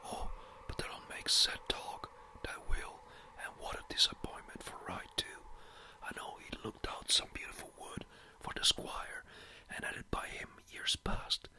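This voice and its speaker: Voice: a little slow, pushed and bright. A narrator whispering the lines of a humble, easily shocked old parish clerk. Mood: dismayed. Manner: humble, fussing.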